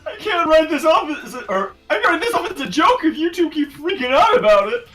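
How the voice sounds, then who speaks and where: A man's voice: extremely high pitch, sing-song, fairly quick, bright, forceful tone, pitched above the performer's own voice, full character voice. A man voicing a bossy, panicky bear-cub mascot with brash delivery in an amateur anime game dub.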